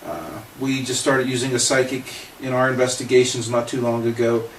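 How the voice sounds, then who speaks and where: A man's voice: mid-range voice, steady tone, moderate pace. Echoey, distant American man in his forties. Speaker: paranormal investigator. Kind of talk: casual spoken self-introduction to camera. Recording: echoey room, distant mic, faint noise.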